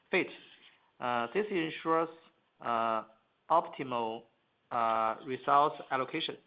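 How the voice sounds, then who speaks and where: A man presenting prepared corporate earnings remarks as an executive, halting and hesitant, with frequent filler sounds and repeated words. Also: mid-range voice. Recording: muffled, band-limited conference call audio.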